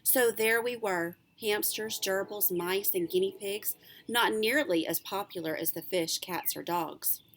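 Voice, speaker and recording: A woman, a teacher reading a children's book aloud in an expressive, animated storytelling way. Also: medium pitch, measured pace, faint music behind.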